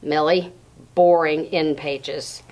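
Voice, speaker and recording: A woman with a slightly low voice reads a picture book aloud for young children. She breaks the story into short phrases with pauses and addresses her listeners directly. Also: fairly even intonation, a little mellow, a little hiss or hum.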